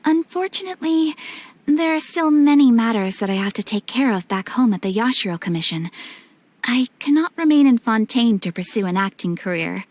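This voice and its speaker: Game role, young noblewoman: polite, gentle, formal, high-pitched. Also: expressive, moderate pace. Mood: gracious, polite. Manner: formal, gracious. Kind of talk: video game character acting.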